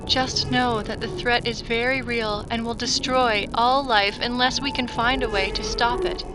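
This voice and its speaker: A woman's voice: a little high, steady tone, measured pace, music in the background, close to an everyday voice. A woman playing a devoted, grave female synthetic human in a sci-fi audio drama, speaking in an earnest, solemn manner. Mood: grave, urgent.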